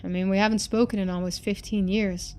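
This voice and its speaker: A woman voices a lonely middle-aged father from a story game, full of love for his daughter. The delivery is folksy and earnest, with soft music underneath. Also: medium pitch, flat delivery, fairly quick, close to an everyday voice. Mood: wistful.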